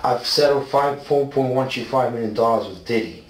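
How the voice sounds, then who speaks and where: A man in his forties from South Africa, talking in rambling, rushed bursts while being questioned in a small interrogation room. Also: mid-range voice. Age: 40s. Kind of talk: police interrogation. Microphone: far ceiling camera. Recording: echoey room, distant mic, faint hiss.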